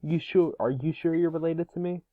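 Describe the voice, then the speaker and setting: A snarky ten-year-old boy hero from a cartoon, acted out by an amateur reader. He is curious and quick to tease. The sound is very dry and thin, like a phone line. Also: medium pitch, fairly even intonation, no room sound, lightly acted. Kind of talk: amateur cartoon table read. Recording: muffled, phone-like voice call.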